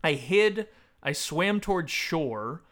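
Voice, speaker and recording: A man's voice: on the high side, animated, takes it slowly, a bit bright. A man chatting casually about a book as a podcast co-host, joking and self-deprecating. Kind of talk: casual book discussion with read-aloud passages.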